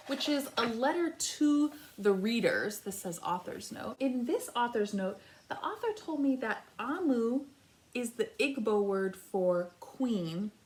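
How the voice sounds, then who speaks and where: A woman teaching a read-aloud lesson for young children, speaking directly to them and thinking aloud as she goes. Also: a little high. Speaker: kindergarten teacher reading aloud.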